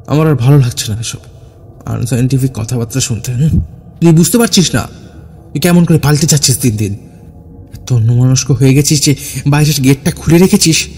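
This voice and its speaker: A man's voice: a little high, warm tone, slightly rough. A man voicing an irritated, worried young male friend who scolds and questions emphatically in an audio horror story.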